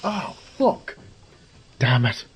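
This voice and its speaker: A man playing a wry, resourceful thief in an audio drama, muttering gruffly and cursing to himself. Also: medium pitch, sing-song, extremely slow, muffled recording, noisy background. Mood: panicked, furious. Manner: gruff, sardonic, swearing under his breath. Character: wry, foul-mouthed adult male thief, resourceful under pressure.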